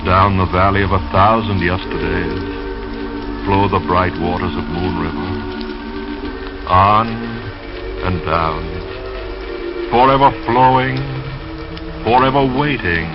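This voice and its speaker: Male old-time radio reader, measured and unhurried, poetic delivery, muffled lo-fi vintage sound with music behind. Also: deep voice. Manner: solemn, measured, lyrical delivery. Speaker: radio announcer reading poetry and sponsor messages. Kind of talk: 1930s radio poetry reading and narration. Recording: dull lo-fi vintage radio recording. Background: dreamy music.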